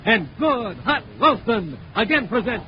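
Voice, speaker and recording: High-pitched American 1950s radio announcer man. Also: big pitch swings, a little slow. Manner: emphatic, showman-like. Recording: muffled, noisy 1950s radio recording.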